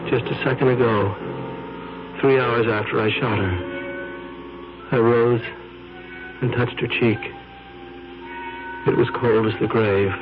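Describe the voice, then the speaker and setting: An actor playing an obsessed, frightened photographer in a 1970s radio drama, talking in a halting, anxious way. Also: male voice, mid-range voice, fairly even intonation, music in the background, close to an everyday voice. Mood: somber. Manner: anxious, halting, breaks into panic. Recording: dull 1970s radio broadcast.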